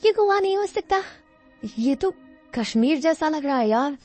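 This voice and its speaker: High-pitched young woman played by a female narrator, excitable, easily scared, exclaiming, horror cartoon, music behind. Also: normal speed, no room sound. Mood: frightened. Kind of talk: Hindi horror cartoon character acting.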